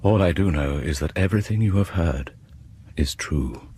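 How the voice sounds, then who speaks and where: An actor playing a grave, sinister British narrator of radio horror tales, deliberate and dropping into whispers. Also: male voice, sits low, animated, dark tone, breathy edge. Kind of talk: dramatic scripted horror narration. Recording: faintly noisy vintage radio broadcast.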